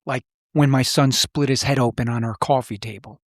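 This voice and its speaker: A man's voice: mid-range voice, fairly even intonation, normal speed, no room sound, clean studio sound. A middle-aged man telling personal stories in a serious, plain-spoken, reflective way as a podcast host. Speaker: podcast host, former police officer. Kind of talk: reflective personal storytelling in conversation.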